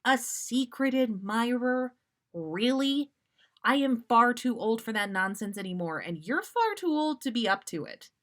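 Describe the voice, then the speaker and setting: A woman's voice: fairly high pitch, expressive, unhurried, fairly bright tone. Woman playing a dry, weary male werewolf. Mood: irritated. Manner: dry, exasperated.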